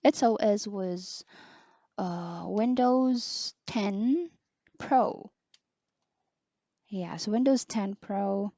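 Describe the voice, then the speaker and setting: A young woman with a soft, low voice, slowly reviewing a headset as an online teacher, pausing often with fillers. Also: no room sound, dull audio. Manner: slow, hesitant, many pauses and fillers. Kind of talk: casual product review.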